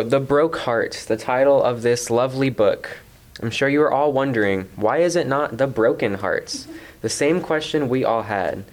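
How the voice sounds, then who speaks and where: Young guy talking books on a panel, kinda wordy and mock formal, average pitch. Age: teen to young adult. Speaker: teen book club panelist. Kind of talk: book discussion.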